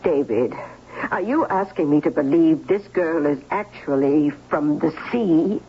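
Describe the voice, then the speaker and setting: A woman playing a proper, skeptical but concerned middle-aged aunt with a husky voice in a 1970s radio drama. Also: low voice, animated, unhurried, heavily acted. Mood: skeptical, reserved. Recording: muffled 1970s radio recording.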